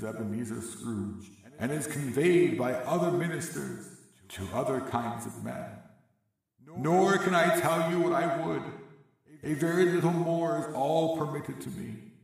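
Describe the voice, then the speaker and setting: A man voicing a doleful, weary ghost of an old man, slow and mournful, with a heavy ghostly reverb effect. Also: medium pitch, creaky, voice pushed up. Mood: lamenting. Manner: slow, mournful, hollow intoning. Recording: echoing reverb, distant from mic.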